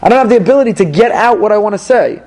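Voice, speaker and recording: A rabbi leading a Torah class, animated and questioning his listeners as he goes. His voice sits high for a man, and he repeats key phrases to drive them home. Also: rapid-fire.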